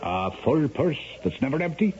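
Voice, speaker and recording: A man's voice: mid-range voice, sing-song, moderate pace, a little mellow, close to an everyday voice. An actor playing a blustering, stammering Irish squire, a gambler and father, in a 1970s radio drama. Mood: wary, bargaining. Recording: muffled, hissy vintage radio recording.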